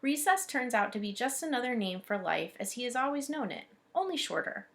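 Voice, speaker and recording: A woman reading aloud as a teacher, expressive and instructive, pausing to explain things. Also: fairly high pitch, creaky. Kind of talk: read-aloud lesson with commentary.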